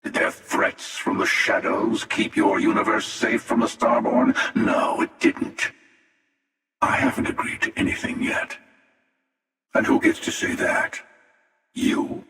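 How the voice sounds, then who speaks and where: A man voicing a jaded, menacing, world-weary older space hunter for a video game, with a gravelly, sardonic and deliberate delivery. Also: mid-range voice, wildly swooping pitch, sharp tone, heavily acted. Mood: weary, pointed. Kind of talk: video game character voice lines. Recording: cavernous echo and long reverb, distant.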